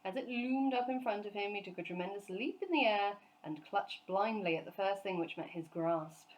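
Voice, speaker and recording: A woman storyteller reading a children's book aloud in an expressive narrating style. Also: mid-range voice, moderate pace, poor audio.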